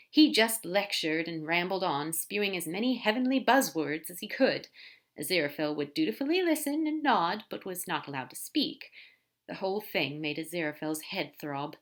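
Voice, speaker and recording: Expressive woman reading a story aloud. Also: fairly low pitch. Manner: expressive storytelling, lively emphasis and soft asides. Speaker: podfic reader. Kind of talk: narrating fan fiction aloud.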